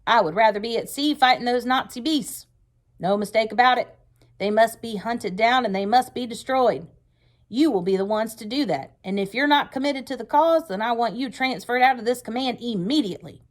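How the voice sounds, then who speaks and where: A woman's voice: fairly high pitch, normal speed, voice pushed up, natural voice. A woman reading a storybook aloud, voicing a fierce, hard-driving male wartime Navy commanding officer with stern, emphatic delivery. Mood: angry, resolute. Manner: stern, forceful, emphatic speech-making. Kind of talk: read-aloud storybook character voice.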